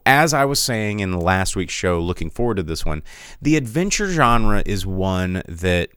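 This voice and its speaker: A man's voice: fairly high pitch, fairly bright tone, clear, resonant tone, dry recording, clean studio sound. A man hosting a casual live-streamed podcast, rambling from thought to thought with lots of restarts and reading out viewer comments. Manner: rambling, digressive, many restarts. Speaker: podcast host.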